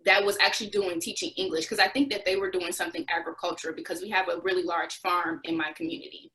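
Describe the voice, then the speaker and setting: A woman's voice: lowish voice, steady tone, fast, sharp tone, low-quality recording. A young adult American woman speaking as an interview guest, telling her experiences conversationally and acting out bits of dialogue. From United States. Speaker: interview guest, former Peace Corps volunteer.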